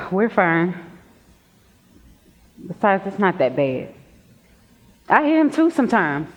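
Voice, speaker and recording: Possessive, slangy teen girl played by a woman, mid-pitched voice, stage drama, muffled lo-fi sound. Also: very animated, slow. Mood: dismissive, teasing. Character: Shanae, street-talking, possessive teenage girl best friend. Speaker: stage actor. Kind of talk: stage play acting. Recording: dull lo-fi stage recording.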